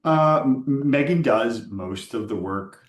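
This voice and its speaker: A man's voice: medium pitch, lively intonation, slow, roomy sound. A man from the United States, a cellist, giving brief, hesitant answers with frequent uhs in an interview. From Northern Virginia, USA.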